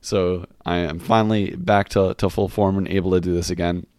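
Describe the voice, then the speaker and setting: A man's voice: deep voice, level tone, fairly quick, darkish tone, clean tone, no echo, studio quality. A man hosting a solo game review podcast, talking casually and rambling with lots of filler words and the odd curse. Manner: rambling, frequent "like" fillers, candid cursing. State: recovering from voice loss. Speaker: podcast host.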